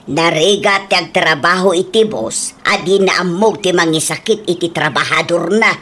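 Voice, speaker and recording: A woman's voice: on the low side, normal speed, a bit bright. A woman playing a weary, reproachful older female farm worker in a radio comedy drama.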